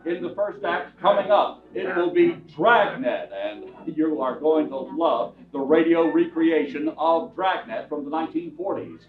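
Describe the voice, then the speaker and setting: A middle-aged man introducing a show live on stage, addressing the audience directly as host. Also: on the high side. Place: theatre stage, before an audience. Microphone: distant camera. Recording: tinny, echoey theatre from far off, music behind.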